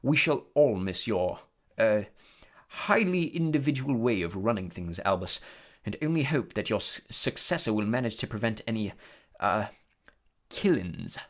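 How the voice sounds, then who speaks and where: A man voicing a cold, smug aristocratic storybook villain with a smooth, lazy, condescending delivery. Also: on the low side, very animated, moderate pace. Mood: mock-polite.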